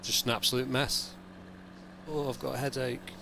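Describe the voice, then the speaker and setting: Casting: male streamer, croaky and hungover, gravelly low voice with a bright edge, rambling as he thinks aloud. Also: level tone, moderate pace, a little hiss or hum. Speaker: sim racing streamer. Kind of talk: casual live-stream commentary.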